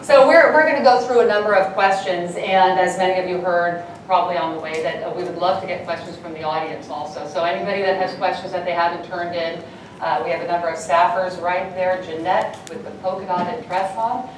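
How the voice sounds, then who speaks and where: A woman's voice: lowish voice, steady tone, fairly quick, distant mic. A woman whose first language is Dutch, introducing and then interviewing a guest before an audience at a university event. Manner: reads the introduction, stumbles and laughs it off. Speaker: host. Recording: echoey hall picked up from afar, noisy.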